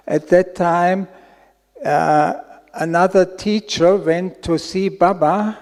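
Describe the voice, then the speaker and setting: Slow, mellow Austrian man. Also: fairly high pitch. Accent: Austrian German. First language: German. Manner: slow and careful, with fillers. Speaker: event host asking a question. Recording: echoey hall.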